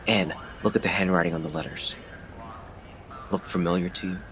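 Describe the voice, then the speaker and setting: A man's voice: low voice, steady tone, a little fast, fairly bright tone. A man acting as an earnest, methodical police detective in an audio drama. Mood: intent, reasoning.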